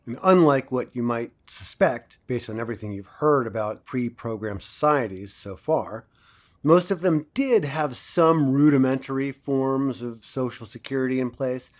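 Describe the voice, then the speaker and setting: A man performs an assured professor of a dystopian future in an audio drama. His mid-pitched voice lectures in a measured, didactic way, with dry wit in the delivery. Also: natural voice. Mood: didactic, wry. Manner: didactic, measured, dryly wry.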